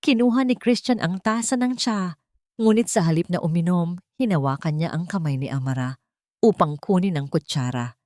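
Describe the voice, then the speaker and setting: A woman's voice: lowish voice, moderate pace, warm tone, dry recording, studio quality. A woman reading an emotional story as a dramatic scripted narration.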